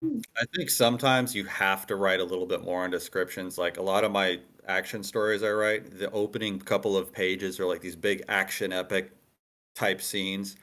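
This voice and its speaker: Man, lowish voice, emphatic, casual discussion, explains at length, repeats for stress. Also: normal speed, a bit bright. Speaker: screenwriter giving feedback. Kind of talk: casual group discussion of scriptwriting. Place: online meeting room.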